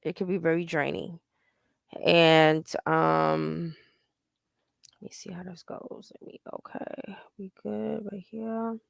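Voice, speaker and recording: A woman in her forties hosts a loose livestream chat, talking in a blunt, profane and wandering way. Her voice has a gravelly, crackly edge. The sound is close and dry, with no room echo. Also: lowish voice, fairly even intonation, slow, long pauses. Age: 40s. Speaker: livestream host. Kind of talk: casual livestream chat, reading viewer comments.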